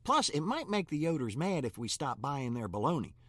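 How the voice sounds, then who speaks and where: A man's voice: fairly high pitch, big pitch swings, brisk, fairly bright tone, no echo, studio quality. A man playing a flustered, dim small-town shop worker in a comedic audio drama, stammering and rambling in a folksy, nervous way. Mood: panicky.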